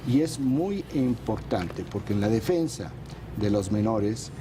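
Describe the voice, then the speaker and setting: A man, a regular on a political panel show, presenting a news roundup in a measured, explanatory way with frequent hesitations. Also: lowish voice, lively intonation, background noise.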